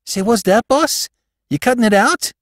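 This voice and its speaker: A man voicing an eager, dim small-time crook in an audiobook, with a New York accent and slangy, ungrammatical, excitable talk. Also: a little high, measured pace, no echo, clean studio sound, pitched above the performer's own voice. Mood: dismayed.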